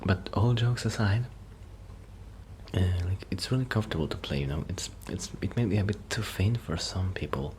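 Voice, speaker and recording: Male, low-voiced, soft ASMR delivery bordering on a whisper; shy, halting roleplay ramble, slightly noisy recording. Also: animated. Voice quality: soft, breathy, often near a whisper. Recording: noticeable background noise.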